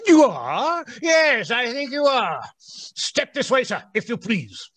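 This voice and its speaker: An actor in a radio play voicing an elderly reformed miser with a theatrical, blustery manner. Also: male voice, very high-pitched, very animated, takes it slowly, a bit bright, no room sound, exaggerated voice. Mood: mock stern. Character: elderly reformed miser, excitable, jolly, mock-stern.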